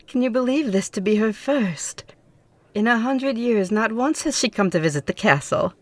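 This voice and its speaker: A woman's voice: on the high side, lively intonation, normal speed, dry recording. A woman playing a gracious, playful vampire in an ASMR roleplay, with a formal, courteous, poised way of speaking.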